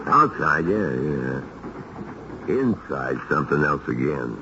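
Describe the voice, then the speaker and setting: Very deep, gravelly American gangster. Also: male voice, very animated, takes it slowly, full character voice. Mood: guarded. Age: middle-aged. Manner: tough underworld slang, ungrammatical. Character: gruff, wheedling gangster father, ailing convict. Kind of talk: 1970s radio drama acting. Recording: noisy, dull old radio recording.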